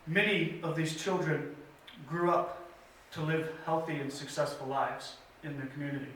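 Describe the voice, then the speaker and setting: A man tells a story at church story time with an earnest, measured delivery, pausing now and then. His voice is bright and fairly high, caught by a distant mic in a very echoey hall with faint room noise behind him. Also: steady tone. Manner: earnest, measured telling with pauses and fillers. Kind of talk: children's story time retelling. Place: church sanctuary.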